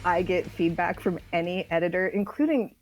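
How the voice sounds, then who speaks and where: A woman's voice: lowish voice, normal speed, soft background music. A woman, a writer guesting on a podcast, talking casually in a frank, wry and reassuring way. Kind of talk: casual conversational interview.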